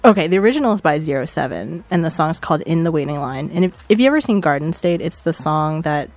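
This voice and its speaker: A woman's voice: low-pitched, animated, fast, a little mellow, no echo. A woman co-hosting a radio music show, introducing tracks with dry, playful jabs at her partner. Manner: dry, playful, laughs often. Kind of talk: casual radio banter.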